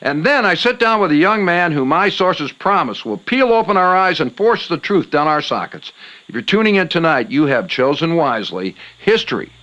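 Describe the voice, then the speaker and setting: An actor playing a showy, irritable late-night conspiracy radio host with emphatic patter. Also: male voice, on the high side, big pitch swings, moderate pace, pushed and bright, rough recording. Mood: showy, solemn. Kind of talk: talk radio patter and headlines.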